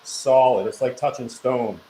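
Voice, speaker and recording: A man's voice: medium pitch, steady tone, measured pace, warm tone, echoey room, poor audio. Easygoing man in his forties. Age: 40s. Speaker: guest, arm wrestler. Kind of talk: casual chat. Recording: faint hiss.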